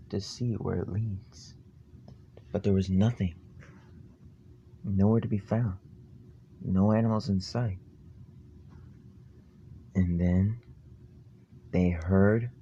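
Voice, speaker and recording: A man improvising an amateur campfire horror story in a halting, ominous manner. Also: on the low side, mellow. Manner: halting, ominous, stumbling over phrases.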